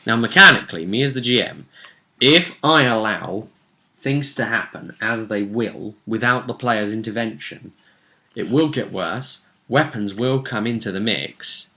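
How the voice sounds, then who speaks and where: A man's voice: fairly low pitch, unhurried, sharp tone. A man speaking as game master, casually recapping a tabletop role-playing session in a jokey, conversational way.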